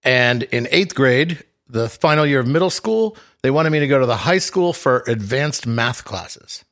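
Man, 40s, ex-radio DJ, dry self-deprecating storyteller, halting, mid-pitched voice. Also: animated, fairly bright tone. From Boston area, US. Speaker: radio DJ guest. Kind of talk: casual interview chat.

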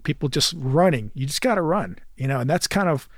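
A middle-aged man from Western New York with a mixed Great Lakes and Southern accent, talking casually as a candidate guest, relaxed and chatty with many fillers and restarts. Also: fairly high pitch, fairly quick, no echo, clean studio sound. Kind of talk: casual conversation.

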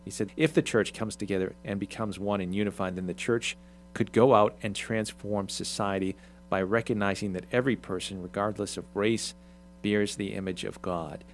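A man with a somewhat low voice hosts a casual talk show and keeps pausing on ums and uhs. A faint hum sits under his speech. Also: fairly even intonation. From Irish, Swedish ancestry mentioned. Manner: hesitant, many ums and restarts. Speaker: radio show host.

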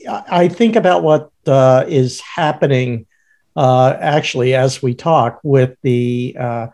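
A man co-hosting a podcast who calls himself a baritone, thinking aloud in halting, filler-heavy speech. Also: darkish tone. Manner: hesitant, many uh fillers and restarts. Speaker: podcast co-host. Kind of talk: casual conversational podcast.

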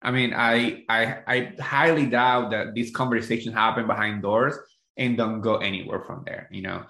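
A man's voice: fairly low pitch, fairly even intonation, normal speed, creaky, bright, forceful tone. A man co-hosting a casual, conversational recap chat about television. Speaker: podcast co-host. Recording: roomy, echoing voice recording.

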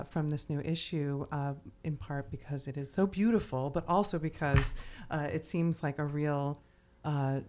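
A woman with a very deep, mellow voice hosts a podcast conversation about literature. She talks thoughtfully and hesitantly, often searching for the next word. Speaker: podcast host.

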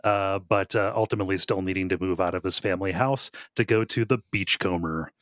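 A man co-hosting a podcast in casual chat, recapping with quick asides. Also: deep voice, dry recording, studio quality. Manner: lively, laughs often, recaps plot. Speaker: podcast co-host.

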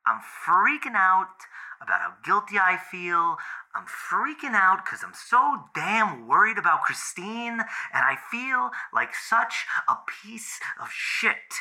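An actor playing an anxious, guilt-ridden boyfriend in an audio drama, rambling in halting, slangy, self-deprecating speech as if leaving a voicemail. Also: male voice, high voice, very animated, pushed and bright, full character voice, rough recording. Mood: distraught, pleading.

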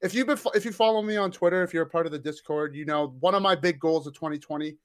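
A man appearing as a podcast guest, eager and excitable, rambling happily in casual chat. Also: on the high side, fast.

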